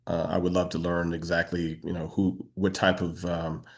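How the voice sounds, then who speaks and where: A man hosting a business networking video call, talking casually with halting pauses between phrases. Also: low-pitched, little pitch movement. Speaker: meetup host and moderator. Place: online video meeting.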